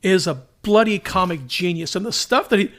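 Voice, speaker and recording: Male podcast host, high bright voice, casual and enthusiastic entertainer. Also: a little fast. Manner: enthusiastic, animated, laughs readily. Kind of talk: casual chat.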